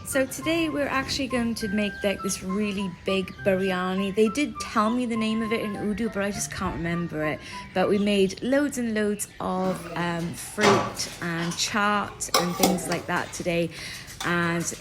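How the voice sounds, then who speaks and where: A woman's voice: medium pitch, unhurried. A young British woman from the UK talking casually to her vlog audience, chatty and rambling, often second-guessing herself. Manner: chatty, rambling, self-doubting. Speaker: vlogger. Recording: music playing behind the voice.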